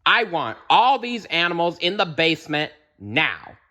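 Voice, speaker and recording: A man's voice: a little high, big pitch swings, unhurried, sharp tone, pitched above the performer's own voice, exaggerated voice. A man voicing a concerned, firm mother in a children's storybook. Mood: stern.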